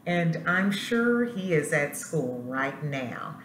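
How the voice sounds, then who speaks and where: A woman principal reading a children's picture book aloud in an expressive, deliberate storytelling way, with asides to her students. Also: deep voice. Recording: roomy echo, faint background noise.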